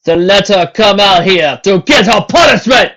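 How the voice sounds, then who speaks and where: A man doing a booming cruel pony captain. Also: animated, a bit bright. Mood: commanding. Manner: booming, commanding, slow and theatrical. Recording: dull lo-fi home recording.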